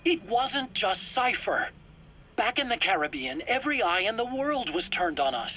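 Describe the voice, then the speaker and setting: Whiny, high-voiced frightened scientist. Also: male voice, sing-song, moderate pace, bright, forceful tone, muffled recording, a little hiss or hum, full character voice. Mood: defensive. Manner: whiny, pleading. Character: frightened, defensive male scientist under interrogation, protesting innocence. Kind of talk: dramatic video game acting.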